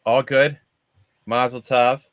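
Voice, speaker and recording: A man's voice: medium pitch, level tone, slow pace, a bit bright, smooth, no echo. A man hosting a movie discussion show on a video call, chatting casually. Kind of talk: casual chat.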